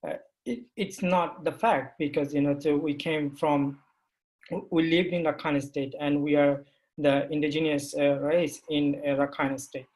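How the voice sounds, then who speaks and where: A man who grew up speaking Rohingya, a panel guest answering questions in halting speech with many restarts and fillers. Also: fairly high pitch, little pitch movement, a little mellow, rough recording. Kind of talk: panel discussion.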